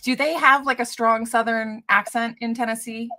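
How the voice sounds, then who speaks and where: A woman's voice: on the high side, fairly even intonation, moderate pace, pushed and bright. A woman from Canada hosting a casual interview, chatty and laughing often as she gives business advice. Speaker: host, cleaning business coach.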